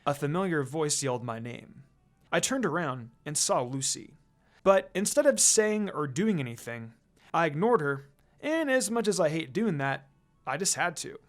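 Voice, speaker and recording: A fanfiction reader voicing a cold, brooding teenage boy ninja in a flat, subdued way. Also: mid-range voice, normal speed, faint music behind, lightly acted.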